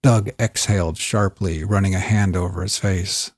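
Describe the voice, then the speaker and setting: A man reading scripted crime fiction in a measured, audiobook-style storytelling delivery. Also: low-pitched, fairly even intonation, dry recording. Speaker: narrator of a serialized crime story. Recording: music bed under the voice. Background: music.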